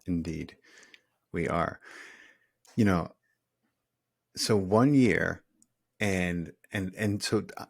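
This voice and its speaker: A man in his forties hosting a podcast, affirming his guest and phrasing questions haltingly with repeated words. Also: low-pitched, creaky, slightly rough, dry recording, clean studio sound. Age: 40s. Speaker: podcast host. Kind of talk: casual chat.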